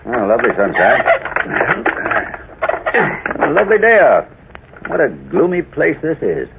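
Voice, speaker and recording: An actor playing a hearty, inquisitive gentleman with a theatrical, exclamatory manner in a 1940s radio drama. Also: mid-range voice, huge pitch swings, a little slow, gravelly, very noisy, exaggerated voice. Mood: hearty, uneasy. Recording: tinny old radio recording.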